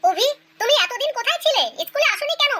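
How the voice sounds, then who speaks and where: Someone doing a strict lady teacher from a comedy cartoon, super high voice, rattles along fast, bossy. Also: lively intonation, pushed and bright, heavily acted. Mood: questioning. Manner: bossy, scolding, questioning. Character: strict scolding female schoolteacher in a comedy cartoon. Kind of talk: animated comedy skit dialogue.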